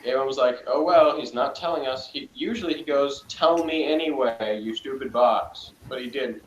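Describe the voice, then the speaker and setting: A man's voice: mid-range voice, moderate pace, highs cut off. A man co-hosting a casual review chat, rambling in a chatty way with sarcastic asides. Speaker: podcast co-host. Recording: echoey room with faint noise.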